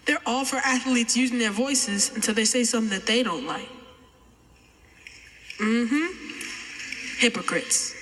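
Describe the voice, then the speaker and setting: American boy about 12 doing spoken word, bright forceful voice, big reverby chapel, very emphatic. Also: extremely high pitch. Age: twelve-year-old boy. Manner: emphatic, deliberate oratory. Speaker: young poet reciting. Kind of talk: spoken-word poetry performance. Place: large chapel, live audience event. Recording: echoey large chapel, long reverb.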